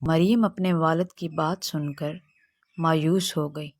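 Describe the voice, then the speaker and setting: A woman narrates a scripted children's tale as the storyteller. Her pitch sits a little low for a woman. The recording is dry, with no audible room. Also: fairly even intonation, takes it slowly, no echo.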